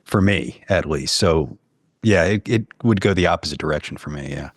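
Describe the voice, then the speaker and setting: Very low-voiced, reflective man from New Orleans. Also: normal speed, dry recording, studio quality. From New Orleans, United States. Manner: hesitant, reflective, thinks aloud. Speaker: podcast guest, horror fiction writer. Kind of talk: casual chat.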